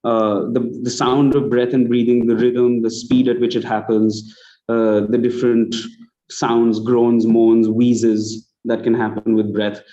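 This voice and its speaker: Mellow Indian man giving critique, muffled audio. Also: mid-range voice, little pitch movement, unhurried. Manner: conversational, acts out example lines. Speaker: judge giving feedback on student audio plays. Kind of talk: spoken critique on an online event. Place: online video call. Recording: dull, lo-fi online call audio.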